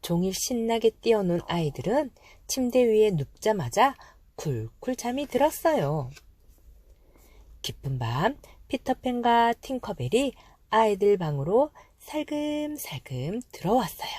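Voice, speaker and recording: A woman narrating a children's storybook from a script, with expressive, dramatized phrasing for young listeners. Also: medium pitch, a little slow, dry recording.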